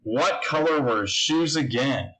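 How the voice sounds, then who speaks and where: A man's voice: medium pitch, measured pace, background noise. A man reading a children's picture book aloud, speaking simply and putting questions to his young listeners. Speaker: story reader.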